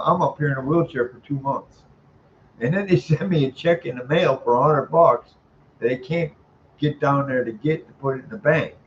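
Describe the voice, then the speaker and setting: An older man making an informal complaint call, emphatic and aggrieved, repeating himself as he rambles. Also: mid-range voice. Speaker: caller, tenant making a complaint. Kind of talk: informal phone complaint, venting. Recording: dull lo-fi sound with background noise.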